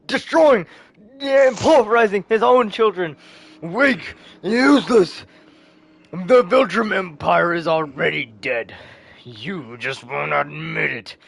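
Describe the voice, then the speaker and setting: A man's voice: on the high side, slow pace, vocal fry, sharp tone, heavily acted. A man voicing a ruthless alien warlord father who speaks with cold, imperious contempt in a comic retelling. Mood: contemptuous.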